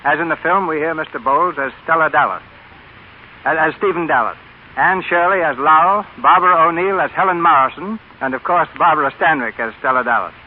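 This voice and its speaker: A man's voice: a little high, fairly quick. A man hosting and narrating a radio theatre programme in a formal, scripted 1930s broadcast style. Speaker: host and narrator. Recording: tinny old radio broadcast, music.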